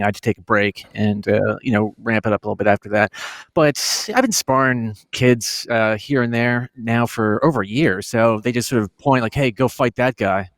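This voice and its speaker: Calm man in his forties on a call. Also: medium pitch, dry recording. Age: 40s. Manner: calm, hesitant, lots of fillers. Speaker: guest fighter on a call. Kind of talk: casual chat. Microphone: remote call.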